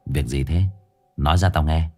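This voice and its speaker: A man voicing a gruff young male exorcist who speaks low and mockingly. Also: fairly even intonation, moderate pace. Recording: faint background music.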